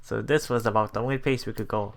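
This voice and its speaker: A man's voice: lowish voice, fairly even intonation, fast, gravelly. A man hosting a let's play, reading visual novel text aloud with casual, musing commentary. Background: game music.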